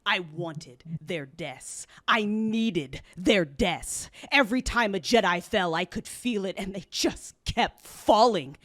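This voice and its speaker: A woman's voice: lowish voice, dry recording, studio quality. A woman playing an anguished, rage-consumed Jedi warrior, narrating an audio drama in the first person with intense emotion. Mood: seething rage. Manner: intense, emotive, shifting from hushed to roaring.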